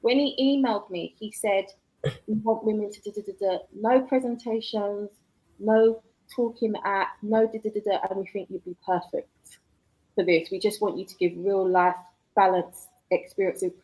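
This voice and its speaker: Woman, forties, chatty guest, laughs a lot, mid pitch. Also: little pitch movement, a little mellow, rough recording. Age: 40s. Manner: chatty, laughs often, quick asides. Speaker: guest author. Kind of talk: casual interview chat.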